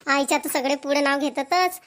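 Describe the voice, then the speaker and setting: A woman plays an affectionate cartoon village woman with a high voice and a rural Maharashtrian accent. She talks in a homely, chatty way, full of fondness for her family. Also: fairly quick, a bit bright. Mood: respectful, gentle. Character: affectionate village women, doting grandmother and dutiful daughter-in-law. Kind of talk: Marathi family cartoon voice acting.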